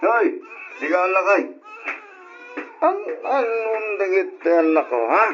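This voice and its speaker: Wildly pitched drunken Ilocano bully played by an actor. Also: male voice, lively intonation, slow pace, crowd noise. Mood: enraged. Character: drunken, violent husband and father, bullying. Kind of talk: old-style radio drama acting. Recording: dull lo-fi radio recording, noisy.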